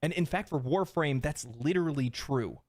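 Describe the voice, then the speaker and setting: A man's voice: fairly high pitch, moderate pace, a little mellow, no room sound, clean studio sound. A man delivering scripted video essay narration about games in an earnest, emphatic way.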